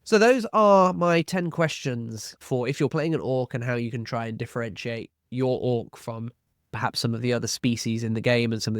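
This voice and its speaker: A man's voice: medium pitch, no room sound, studio quality. A man hosting an explanatory video on tabletop roleplaying, talking to viewers in a conversational, reflective, advisory way and posing questions. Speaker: host of a tabletop roleplaying advice channel.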